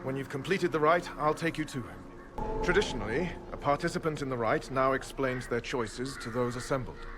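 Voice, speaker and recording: An actor playing an earnest, duty-bound Templar knight, speaking formally and gravely in a video game cutscene. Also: male voice, medium pitch, brisk, over music, natural voice.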